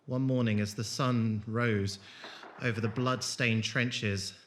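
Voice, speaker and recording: A man playing a gentle, war-scarred wanderer in an audio drama, with an earnest, hesitant delivery. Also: medium pitch, steady tone, slow, slight background noise. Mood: haunted. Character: gentle, awkward, war-scarred male wanderer with strange powers.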